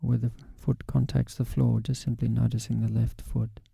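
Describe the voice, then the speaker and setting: A man guiding a body scan meditation in a calm, gentle, unhurried way with long pauses. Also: mid-range voice, vocal fry, husky, dry recording. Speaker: meditation guide.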